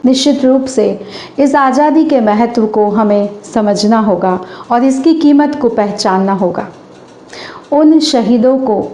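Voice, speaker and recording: A hoarse woman hosts her channel and recites a stage anchoring script in a formal, declamatory way, slowing down for poetic couplets. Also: on the high side, mellow, roomy sound.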